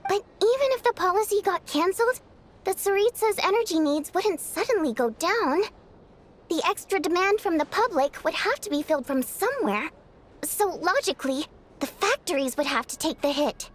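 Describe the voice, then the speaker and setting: A woman voicing a youthful, earnest and thoughtful female companion in a story game, explaining things in a measured way. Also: very high voice. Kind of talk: scripted game story dialogue.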